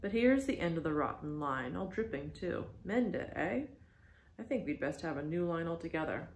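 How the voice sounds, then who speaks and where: A woman's voice: low voice, animated, normal speed, a little hiss or hum. A woman voicing a grumbling, plain-spoken, superstitious old male sailor who mutters to himself. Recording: echoey room.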